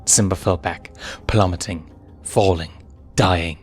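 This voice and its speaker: A man's voice: sits low, steady tone, slow, a little mellow, husky. A man reading a story aloud as a narrator, in a dramatic, scripted storytelling style. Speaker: fan fiction reader and narrator. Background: music.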